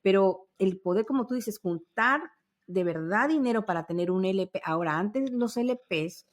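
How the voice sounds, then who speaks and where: A woman's voice: mid-range voice, animated, normal speed. Middle-aged woman chatting emphatically. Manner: emphatic, repeats words for agreement and emphasis. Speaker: podcast co-host. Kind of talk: casual nostalgic conversation.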